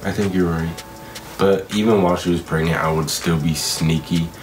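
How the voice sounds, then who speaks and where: Deep-voiced guy in his 20s, chill and kinda defensive, light music behind. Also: fairly even intonation, moderate pace. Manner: laid-back, defensive, brief answers. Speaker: vlogger, young father. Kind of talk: casual couple Q&A chat.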